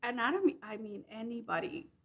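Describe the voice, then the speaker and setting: A woman's voice: fairly high pitch, lively intonation, a little fast, a bit bright. A woman in her forties from Puerto Rico, chatting casually and jokingly as a studio interview guest. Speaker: interview guest, freelance animator and designer. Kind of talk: casual conversational chat. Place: indoor studio set at a table.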